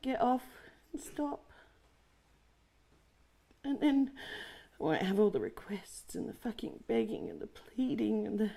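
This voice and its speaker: A woman's voice: a little high, animated, measured pace, gravelly, long pauses, dark tone, exaggerated voice. An actress in her forties playing a profane, panicky woman who scolds herself and talks herself through her fear, short of breath. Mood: bitter. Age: 40s. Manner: swears heavily, talks to herself. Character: frazzled forty-year-old woman, profane, self-scolding. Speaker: adult content creator. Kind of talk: dramatic monologue.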